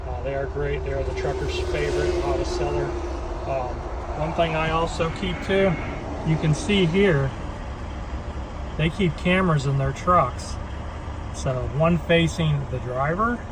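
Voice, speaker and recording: Higher-voiced man rambling casually, noisy recording. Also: steady tone, unhurried. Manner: rambling, plain-spoken, occasional swearing. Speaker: truck driver showing his gear. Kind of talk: casual product walkthrough with anecdotes.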